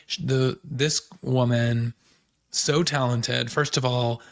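A man hosting a podcast with a hoarse voice, recapping pop culture in a chatty, gossipy way. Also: mid-range voice, steady tone. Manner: chatty, gossipy, fast asides. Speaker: podcast host.

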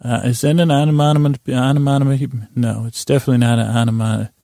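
A man hosting a sleep podcast with a meandering, whimsical monologue, halting often with stammers, repeats and long pauses. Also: medium pitch, steady tone, creaky, no echo, studio quality. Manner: gently whimsical, wandering off on tangents. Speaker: podcast host. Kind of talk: rambling bedtime monologue to lull listeners to sleep.

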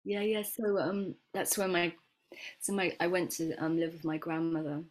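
Woman herbalist guest, raised in Florida then England, lower voice, reflective and unhurried. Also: flat delivery, darkish tone. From grew up in Florida, then England. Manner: reflective, hesitant storyteller with many fillers and restarts. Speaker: guest, herbalist and medicine maker. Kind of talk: conversational interview.

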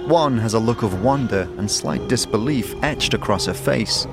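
A man's voice: lowish voice, animated, normal speed. Male fantasy narrator over music. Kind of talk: second-person fantasy narration.

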